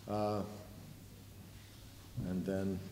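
Middle-aged coach guy, really deep monotone, blunt about the turnovers, echoey press room with noise. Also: drawn-out words. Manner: blunt, frustrated, self-correcting. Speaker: visiting basketball coach. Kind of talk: post-game press conference answers. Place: press room with sponsor backdrop. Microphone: table mic. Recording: echoey room, noisy background.

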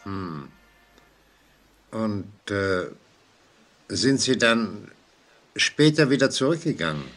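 An actor playing a measured police inspector in an old German radio play, asking probing questions deliberately. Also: male voice, fairly low pitch, lively intonation, a bit bright.

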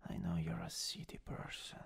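A man with an Italian accent playing a teasing, soothing country-boy lover in an improvised ASMR roleplay, murmuring intimately in a breathy, raspy whisper. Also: natural voice. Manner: slow, hesitant, intimate murmuring.

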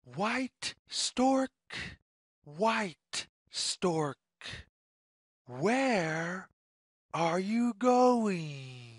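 A storyteller reading a children's read-along story in deliberate, sing-song phrases with pauses. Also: medium pitch, sing-song, slightly rough, dry recording, clean studio sound. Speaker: read-aloud narrator.

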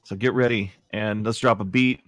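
A man's voice: lowish voice, fairly even intonation, moderate pace, no room sound. A man hosting a live podcast, introducing items in a casual, chatty way. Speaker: podcast host.

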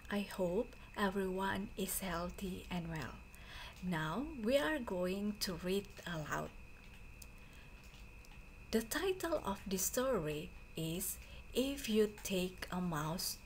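A woman teacher reads aloud for a class at a slow, careful pace. Her pitch sits in the middle range for a woman, and she sets out each phrase deliberately. There is faint noise behind her voice. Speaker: teacher reading aloud to students.

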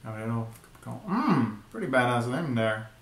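A man in his twenties giving a casual, excitable live reaction commentary full of slangy exclamations, recorded in a small bedroom. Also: medium pitch, measured pace. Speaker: reaction video host. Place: small bedroom at home, indoors. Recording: roomy, echoey home recording.

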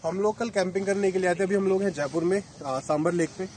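A man's voice: sits high, fast, darkish tone, slight background noise. A man in his thirties, a motorcycle vlogger, chatting casually as he explains gear step by step at an open lakeshore. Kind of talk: casual product demo.

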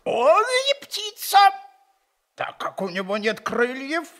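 A fussy, dismissive learned giant in a radio play. He speaks pedantically and slowly, in a high voice that swoops up and down. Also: huge pitch swings, fairly bright tone, exaggerated voice. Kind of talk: theatrical radio play acting.

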